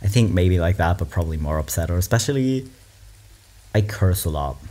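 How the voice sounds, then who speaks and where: Guy, lively swoopy voice, reacting to memes, rambly and chill. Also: low voice, brisk. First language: German. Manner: rambling, animated, laughs often, swears. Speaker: video creator reacting to memes. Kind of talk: casual meme reaction chat.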